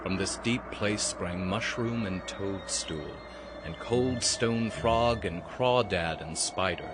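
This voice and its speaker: A man's voice: fairly low pitch, slow, heavy background noise. A man playing an ominous storyteller, narrating a spooky Halloween tale theatrically and savoring each phrase. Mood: eerie. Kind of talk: dramatic scripted storybook narration. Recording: loud music under the voice.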